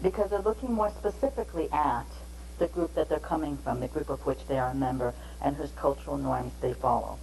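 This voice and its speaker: A woman giving a measured, explanatory training lecture on interpreting, pausing between phrases. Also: low voice, gravelly, a little mellow, a little hiss or hum, rough recording.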